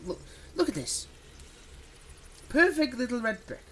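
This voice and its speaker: A performer playing a theatrical supervillain, menacing yet exasperated and softhearted, in a one-sided ASMR roleplay spoken straight to the listener. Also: mid-range voice, sing-song, a little slow, slight background noise, rough recording. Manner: dramatic, swinging between threats and hushed soothing.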